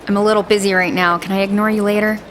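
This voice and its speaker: A woman's voice: medium pitch, level tone, racing, fairly bright tone, slight background noise, lightly acted. An actress playing a feisty, sassy young village woman in an audio drama. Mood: annoyed, sassy.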